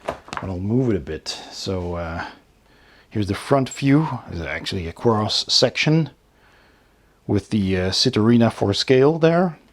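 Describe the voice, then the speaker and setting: A man with a fairly low voice and a noticeably husky edge talks through an unboxing as a channel host. He takes his time, speaking very slowly and pausing between items in a casual show-and-tell manner. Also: animated. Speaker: tabletop game reviewer and channel host.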